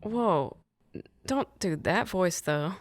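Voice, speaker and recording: A woman comedian guest with a deep voice, telling a rambling, giggly story in casual chat. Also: expressive, slow. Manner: giggly, rambling, self-correcting.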